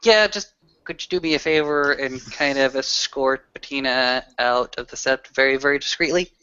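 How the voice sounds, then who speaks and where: A man voicing a courteous, wordy werewolf storyteller who leads the group in a tabletop roleplaying game, formal and somewhat halting. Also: mid-range voice, sharp tone, clean tone, dull audio, natural voice. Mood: gentle, commanding. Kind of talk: improvised in-character roleplay.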